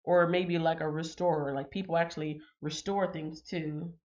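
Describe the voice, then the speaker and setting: A woman in a casual live chat talks with her viewers in a low, chatty voice full of ums. She sounds a little stuffy and congested. The recording is dull and lo-fi. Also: fairly even intonation, normal speed, darkish tone. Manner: chatty, reads out viewer comments, frequent ums. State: slightly congested. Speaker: livestream host.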